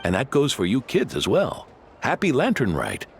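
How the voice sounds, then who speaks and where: A man's voice: mid-range voice, moderate pace, creaky. A man voicing a hearty, devout and generous old grandfather innkeeper in a video game, with an effusive manner. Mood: hearty, welcoming. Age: older. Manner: animated, effusive. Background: music.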